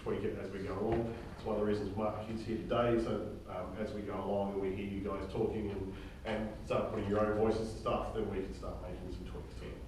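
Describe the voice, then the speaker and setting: Low-voiced man in a very echoey room. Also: little pitch movement, darkish tone. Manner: halting, often tags sentences with "okay?". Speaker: director and writer leading a drama table read. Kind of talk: informal briefing to a group of actors. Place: reverberant indoor room, recorded from far off. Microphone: distant room microphone. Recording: very echoey room, distant mic, faint noise.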